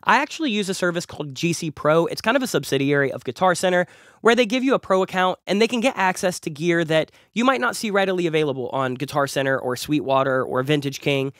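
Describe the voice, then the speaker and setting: A young man talks quickly and eagerly through a gear review, doubling words when he gets excited. His voice is a little nasal from a cold and sits fairly high for a man. The sound is close and dry, like a treated home studio. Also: no room sound. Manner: enthusiastic, chatty, repeats words for emphasis. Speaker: music producer and YouTube host. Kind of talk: casual gear review talk. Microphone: large studio condenser close up.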